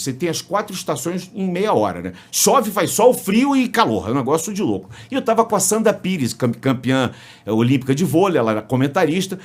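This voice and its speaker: A Brazilian sports commentator with a Brazilian Portuguese accent, a podcast guest telling lively stories with restarts and jokes. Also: male voice, on the high side. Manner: animated storyteller, frequent restarts, jokes. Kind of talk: casual interview storytelling.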